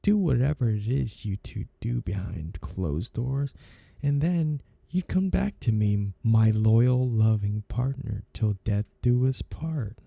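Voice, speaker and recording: Man acting a dark-voiced, flirty mafia boss. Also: medium pitch, animated, slow, no echo, exaggerated voice. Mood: coaxing, possessive. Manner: smooth-talking, teasing, theatrically polite while threatening. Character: flirty, two-faced, menacing male mafia boss, obsessively in love. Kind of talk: audio roleplay ASMR acting.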